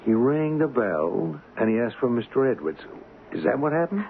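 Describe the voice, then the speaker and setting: An actor playing an eager, cajoling police officer, a deferential sidekick, in a radio drama. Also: male voice, medium pitch, normal speed, creaky. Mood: helpful, probing. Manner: cajoling, animated, repeats himself. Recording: tinny, noisy old radio broadcast.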